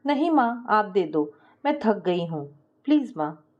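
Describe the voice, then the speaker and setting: A woman's voice: mid-range voice, very animated, measured pace, highs cut off. A woman storyteller voicing an affectionate, demanding young daughter-in-law with a coaxing, pleading manner.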